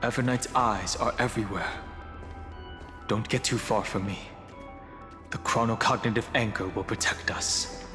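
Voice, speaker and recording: A man playing a calm, analytical, guarded young man in an anime video game, speaking in a measured, serious, protective way. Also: lowish voice, close to an everyday voice. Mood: cautious, protective. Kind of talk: English-dubbed anime video game acting. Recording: long hall reverb under clear music.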